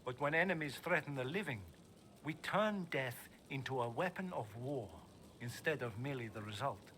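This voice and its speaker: An actor playing a solemn necromancer teacher in a video game, speaking in a formal, grandiose and deliberate way. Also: male voice, medium pitch, lively intonation, a bit bright, a little hiss or hum, close to an everyday voice. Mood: reverent. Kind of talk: video game character dialogue.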